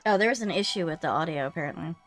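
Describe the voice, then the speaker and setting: A woman's voice: fairly low pitch, expressive, very fast, faint music behind. A woman chatting casually as she hosts a livestream. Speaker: streamer hosting a live game readthrough.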